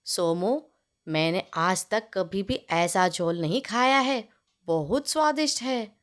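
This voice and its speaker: Woman voicing a fussy, bossy village landlord, deliberately paced. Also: mid-range voice, animated, pitched below the performer's own voice. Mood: pleased. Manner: demanding, curt, complaining. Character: fussy, bossy male village landlord who loves food. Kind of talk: storybook character voice in narrated tale.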